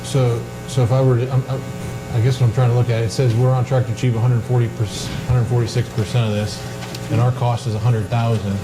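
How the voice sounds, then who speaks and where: A man's voice: mid-range voice, level tone, fast, over music, poor audio. A man asking informal questions at a meeting as a council member, tentative and working things out aloud. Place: indoor meeting room.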